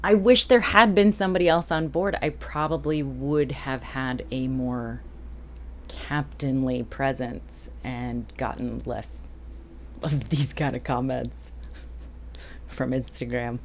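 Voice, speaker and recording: Female vlogger, boat-captain type: very deep voice, candid and self-deprecating, casual explainer delivery, noticeable background noise. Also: fairly even intonation, slow pace. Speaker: boat captain and video host. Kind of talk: casual explanatory vlog to camera.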